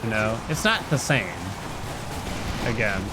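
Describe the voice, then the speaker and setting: A man's voice: on the low side, very animated, slow, rough recording. A middle-aged man chatting casually as he commentates a live game stream, thinking aloud in a rambling way. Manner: rambling, thinking aloud, humming little nonsense tunes. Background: loud game music.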